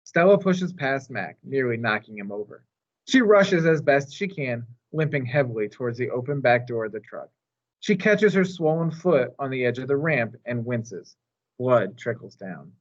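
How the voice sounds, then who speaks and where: Expressive male storybook reader, lively pitch. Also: moderate pace, dull audio. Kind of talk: expressive children's storybook reading.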